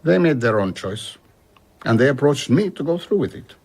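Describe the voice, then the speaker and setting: An actor playing a reflective, middle-aged traveller in a radio drama, recounting his past in a husky, rueful, measured way. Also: medium pitch, big pitch swings, a little mellow.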